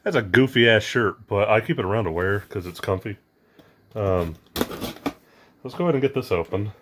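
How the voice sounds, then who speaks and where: Hoarse, raspy man vlogging. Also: fairly low pitch, normal speed, fairly bright tone, slight background noise. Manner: rambling, lots of ums and uhs. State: hoarse, losing his voice. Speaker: unboxing channel host. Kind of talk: casual unboxing vlog.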